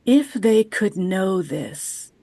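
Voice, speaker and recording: A woman in her fifties giving a measured, poetic chapel reflection over a video call. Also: medium pitch, lively intonation, vocal fry, dark tone, no room sound. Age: 50s. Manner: measured, poetic, unhurried delivery. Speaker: chapel speaker. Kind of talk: reflective personal chapel talk, read aloud. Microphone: video call microphone.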